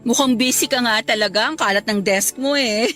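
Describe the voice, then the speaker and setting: Bubbly high-voiced young Filipina bride-to-be. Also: female voice, fairly quick, sharp tone, soft background music. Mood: cheerful. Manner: gushing, teasing, dramatic. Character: bubbly, chatty, clingy young bride-to-be best friend. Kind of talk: Tagalog radio romance drama acting.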